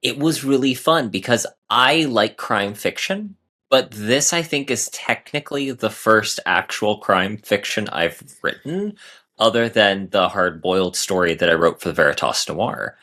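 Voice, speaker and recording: A man's voice: lowish voice, lively intonation, measured pace, pushed and bright. A man giving conversational answers as an author guest, articulate, in long flowing sentences.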